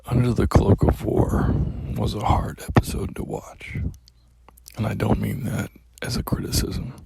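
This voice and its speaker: A man with a very deep voice calling in, speaking slowly and haltingly with heartfelt care. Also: level tone, vocal fry, mellow, whispered. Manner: slow, measured, halting. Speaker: caller. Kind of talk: heartfelt call-in comment.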